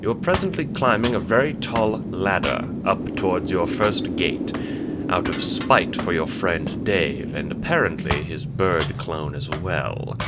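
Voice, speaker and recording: Very low-pitched man narrating. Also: expressive, a little slow, very noisy. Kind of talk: scripted second-person audiobook narration.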